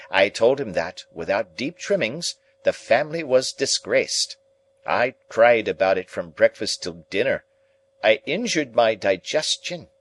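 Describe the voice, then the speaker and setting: A man voicing a fussy, self-pitying older woman relative in an audiobook reading, with a shrill, affected, exclaiming manner. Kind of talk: audiobook character voice.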